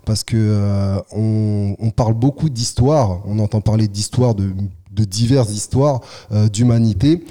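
A man from Congo, a guest artist in a casual podcast interview, speaking in a measured, reflective way with frequent pauses. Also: fairly low pitch, warm tone. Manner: measured, reflective, pausing often.

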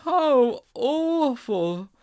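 A man's voice: big pitch swings, very slow, a little mellow, full character voice. A man voicing a nervous, timid schoolboy who lisps his r's as w's, in an audiobook reading. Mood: anxious, eager. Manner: lisps r as w, squeaky and breathless. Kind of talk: audiobook character voice.

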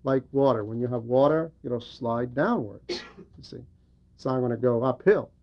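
A man's voice: medium pitch, moderate pace, gravelly, highs cut off. A man delivering an explanatory religious homily in a didactic way, repeating phrases for emphasis. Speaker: preacher and religious teacher.

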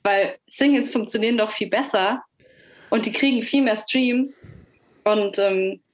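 A woman's voice: fairly high pitch, unhurried, poor audio. A young woman from Germany with a Franconian German accent, talking casually as a musician guest on a podcast, with lots of fillers and easy laughs. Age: young adult. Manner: chatty, frequent fillers, laughs easily. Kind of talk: casual interview chat.

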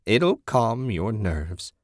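A man voicing an eerie old woman hermit in a story, folksy and hospitable yet sly, with drawn-out phrasing. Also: fairly low pitch, very animated, no echo, studio quality, exaggerated voice. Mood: insistent.

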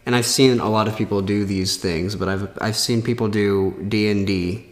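A man chatting casually as a podcast co-host, halting and rephrasing as he thinks aloud, in a very echoey space. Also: fairly low pitch, fairly even intonation. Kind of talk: casual chat. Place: echo-heavy indoor room. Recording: cavernous echo, long reverb.

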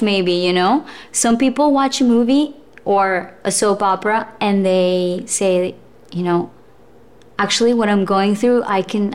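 Brief: young woman actress, reflective guest, unhurried and full of fillers. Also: mid-range voice. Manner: thoughtful, with frequent fillers and laughs. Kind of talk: casual interview chat.

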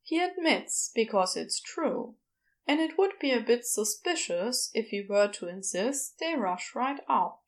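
Slow, higher-pitched woman narrator. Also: lively intonation. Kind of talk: scripted fiction narration for a podfic.

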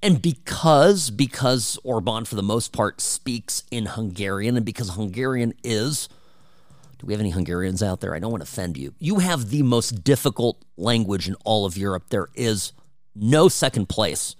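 A man's voice: fairly low pitch, expressive, moderate pace, no room sound, studio quality. A man hosting a talk radio show, delivering an opinionated, emphatic and conversational monologue. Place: radio studio.

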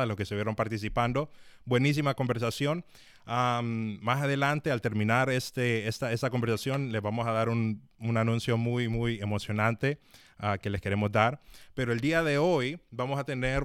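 A man's voice: mid-range voice, smooth, dry recording, studio quality. A man hosting a live-streamed interview, talking with many fillers and restarts. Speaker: host.

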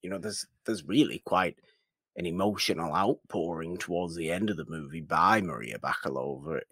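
A man co-hosting a podcast, discussing a film casually and pausing often to choose his words. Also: low voice, a bit bright, slightly rough, dry recording, clean studio sound. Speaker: podcast co-host.

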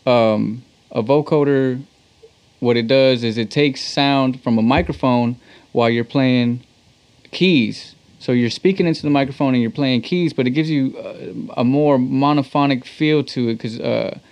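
A man's voice: mid-range voice, a little slow, darkish tone. Slangy Texan man in muffled audio. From Texas. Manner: slangy, conversational. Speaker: interview guest, talk box musician. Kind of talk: casual chat.

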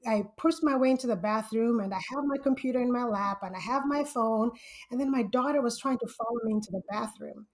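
A woman from Zambia, a guest on a podcast, telling her story in a lively way and laughing often. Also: a little high, low-quality recording. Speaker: podcast guest, full-time stock trader. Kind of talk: casual interview storytelling.

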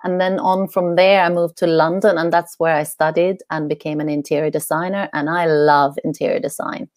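A woman's voice: sits low, steady tone, moderate pace, darkish tone, no room sound, studio quality. Earnest middle-aged woman from Sweden. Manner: earnest, searching for words, many "you know"s. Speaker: guest, coach. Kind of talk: telling her life story in an interview.

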